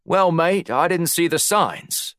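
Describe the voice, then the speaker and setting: A male audiobook narrator acts out a brash spy bluffing as an Australian. The voice is raised and very lively, with a breezy, matey, protesting manner. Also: fairly high pitch, slow, clean tone, no echo, clean studio sound, pitched above the performer's own voice. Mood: breezy, cheeky. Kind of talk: audiobook character dialogue.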